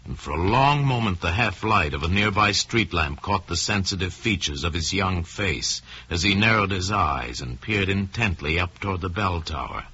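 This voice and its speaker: A man narrating a crime story in old-time radio style, deliberate and suspenseful, with dramatic pauses. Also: deep voice, expressive, pushed and bright, husky. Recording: dull, lo-fi old radio recording.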